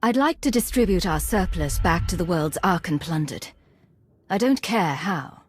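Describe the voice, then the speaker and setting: A compassionate but firm game commander. Her voice sits slightly low, with a measured, authoritative delivery. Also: expressive, a little hiss or hum. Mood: resolved. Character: principled, composed female commander, compassionate yet firm. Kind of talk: video game acting.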